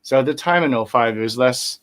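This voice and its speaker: A middle-aged man as a guest musician in a casual interview, talking in a relaxed, rambling way with many fillers and restarts. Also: medium pitch, a little fast.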